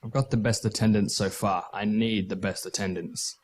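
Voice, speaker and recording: A man's voice: fairly low pitch, steady tone, normal speed, lightly acted. A man playing an earnest, dutiful Australian schoolboy in a nostalgic radio play. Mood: defensive. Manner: earnest, exasperated.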